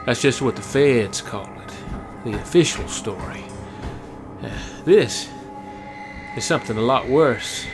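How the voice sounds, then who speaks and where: A man's voice: medium pitch, animated, measured pace, music in the background, lightly acted. A man playing a folksy, drawling trucker. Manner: folksy drawl with hesitant fillers. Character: folksy, rattled middle-aged trucker. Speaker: truck driver.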